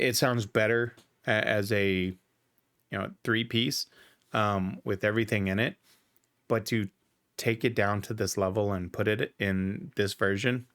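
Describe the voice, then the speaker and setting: A man's voice: on the low side, a bit bright. Man talking through a music reaction. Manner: thoughtful, restarts often, says "right?". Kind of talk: casual reaction commentary.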